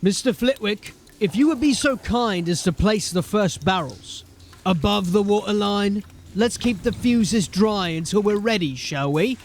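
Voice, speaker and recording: An actor playing a gruff, resolute sergeant of a fantasy city watch in an audio drama, giving clipped, commanding orders with dry sarcasm. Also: male voice, high-pitched, measured pace, a little hiss or hum. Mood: dry, brisk.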